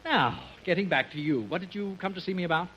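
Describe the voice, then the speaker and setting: An actor playing a muddled, absent-minded, fussy lawyer in an old radio comedy, flustered and emphatic. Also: male voice, high-pitched, quick pace, a bit bright, breathy edge, dull audio. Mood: muddled, brisk. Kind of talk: 1950s radio comedy acting. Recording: noisy old radio recording, studio audience.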